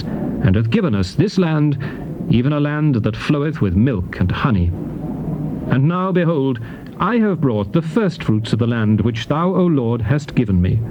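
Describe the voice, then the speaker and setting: A male actor playing a solemn lawgiver in a dramatized King James Bible reading, speaking in a measured, declamatory manner. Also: medium pitch, natural voice. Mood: solemn, reverent. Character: solemn male lawgiver addressing his people in scripture. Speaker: scripture narrator. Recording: dull noisy old cassette tape.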